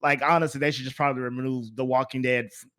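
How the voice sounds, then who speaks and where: A man's voice: medium pitch, flat delivery, fast, a bit bright. A man hosting a podcast in a casual, conversational way. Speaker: podcast host.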